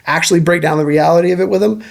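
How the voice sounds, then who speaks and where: Man, early 30s, higher-pitched voice, animated casual talk, slangy. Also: very fast, vocal fry. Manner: animated, exasperated, says dude and homie. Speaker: nutritionist guest. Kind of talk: casual podcast chat.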